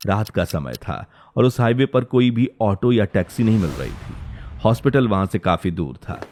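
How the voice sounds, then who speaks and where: A man's voice: on the low side, animated, normal speed, darkish tone, a little hiss or hum. A man delivering scripted dramatic narration for a story.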